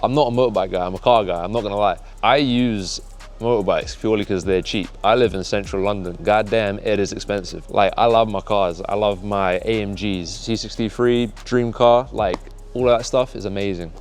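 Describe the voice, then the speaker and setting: Man, lowish voice, chatty and upbeat, faint music. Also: moderate pace. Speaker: company staff. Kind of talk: casual talk to camera.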